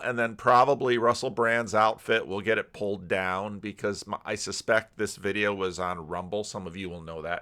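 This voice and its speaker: Bright-voiced man, rambling commentary. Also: lowish voice, clean tone. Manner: rambling, halting, restarts sentences. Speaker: YouTube commentator and pastor. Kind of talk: casual unscripted commentary.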